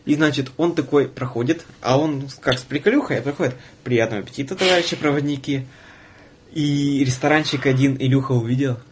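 A man's voice: mid-range voice, a little fast, noisy background. A man in his twenties hosting a casual podcast chat, playfully telling anecdotes and quoting the people in them. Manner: playful, quotes others in his stories. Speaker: podcast host, student train conductor. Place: podcast studio.

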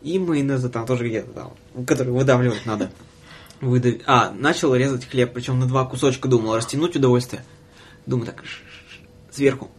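A man's voice: medium pitch, brisk. Young man hosting, slangy, slightly noisy recording. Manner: informal, slangy storytelling. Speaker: podcast host. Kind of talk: casual podcast banter.

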